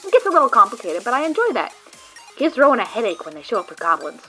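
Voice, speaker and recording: A woman's voice: fairly high pitch, wildly swooping pitch, fast, sharp tone, faint music behind, exaggerated voice, poor audio. A woman playing a brash, teasing and loyal friend who reassures and boasts. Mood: chatty. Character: Rizara, confident loyal friend and card gamer. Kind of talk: fan audio drama acting.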